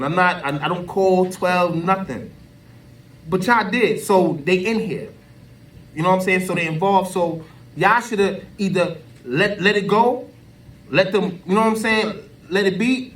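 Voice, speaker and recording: A man's voice: high voice, a bit bright. An American man giving an unscripted opinion in an emphatic, colloquial, repetitive way, as an online commentator. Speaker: social media commentator. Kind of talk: unscripted opinion rant to camera. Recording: voice distant from the mic.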